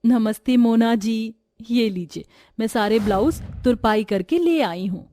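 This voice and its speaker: A woman's voice: fairly high pitch, unhurried, warm tone, dry recording, lightly acted. A woman voicing a meek, poor, worn-down seamstress and sister-in-law in a family story. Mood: polite.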